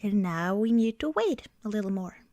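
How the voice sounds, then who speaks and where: A woman doing a soft-spoken ASMR girlfriend roleplay, gentle and often slipping toward a whisper, close to the microphone. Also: medium pitch, animated, moderate pace, no echo. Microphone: close microphone, dry sound.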